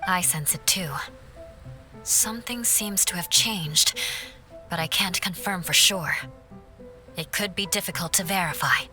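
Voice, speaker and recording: A woman voicing a composed, protective veteran knight in a game, formal and deliberate in manner. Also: on the low side, music in the background, close to an everyday voice. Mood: cool, thoughtful. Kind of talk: scripted game voice acting.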